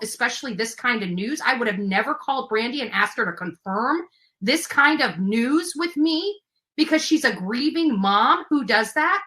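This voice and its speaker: Female livestreamer, high and forceful voice, indignant, confrontational, emphatic rant delivery. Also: low-quality recording. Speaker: livestream host.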